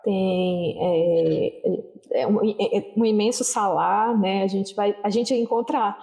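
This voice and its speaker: Woman, young adult, interview guest, mellow, relaxed, rambling. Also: mid-range voice, slow pace. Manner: relaxed, rambling, tag questions "né". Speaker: guest, social worker. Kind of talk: casual interview storytelling.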